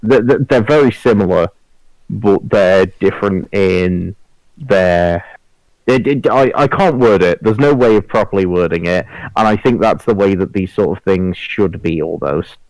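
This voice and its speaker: A young man in casual podcast chat, speaking earnestly and haltingly as he searches for words. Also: low voice, darkish tone, no echo, rough recording. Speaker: podcast crew member. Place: online voice call.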